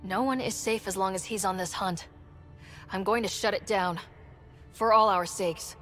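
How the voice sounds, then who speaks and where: An actress playing a young human space explorer in a video game, earnest and determined. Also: female voice, medium pitch, steady tone, normal speed, fairly bright tone, soft background music, close to an everyday voice. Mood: urgent, resolute. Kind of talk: naturalistic video game acting.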